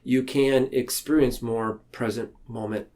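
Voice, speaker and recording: A man speaking as a podcast guest in a reflective, conversational way, earnest and halting, tending to ramble. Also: mid-range voice, fairly even intonation, dark tone. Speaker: podcast guest, mental health counselor. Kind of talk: reflective conversational interview talk.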